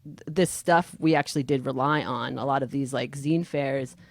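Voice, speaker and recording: A woman with a low-pitched voice moderates a casual panel discussion. She talks haltingly and conversationally, recorded dry with little room sound. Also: fairly even intonation, dry recording. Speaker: podcast moderator.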